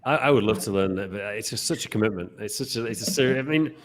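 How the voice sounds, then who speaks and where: Fast-talking man hosting a podcast. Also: lowish voice. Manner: rambling questions, many restarts. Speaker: podcast host. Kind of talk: casual interview.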